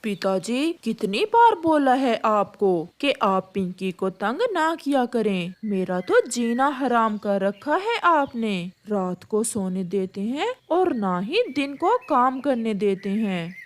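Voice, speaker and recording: A woman's voice: a little high, very animated, takes it slowly, few pauses. A woman voicing an irritable, henpecked grown son crow in a cartoon, curt and complaining. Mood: exasperated.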